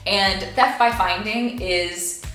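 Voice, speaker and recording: Young female vlogger, emphatic and chatty, slightly low voice, recorded well off the mic with faint music behind. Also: slow pace. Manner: animated, gushing, emphatic. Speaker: book review vlogger. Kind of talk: casual chat to viewers. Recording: echoey room, distant mic, faint music.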